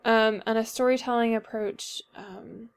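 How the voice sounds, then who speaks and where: A woman's voice: fairly high pitch, steady tone, measured pace, clear, resonant tone. A young woman with a Northern Irish accent, a little sick, giving a class paper presentation with frequent hesitations and ums. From Northern Ireland. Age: young adult. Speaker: student presenter.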